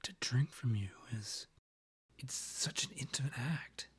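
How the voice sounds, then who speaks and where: A man playing a courtly, lovestruck vampire king in a romantic ASMR roleplay, half-whispering in a breathy voice with formal, pleading phrasing. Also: mid-range voice, unhurried. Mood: pleading, tempted. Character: lovestruck male vampire king, courtly, yearning, tender suitor.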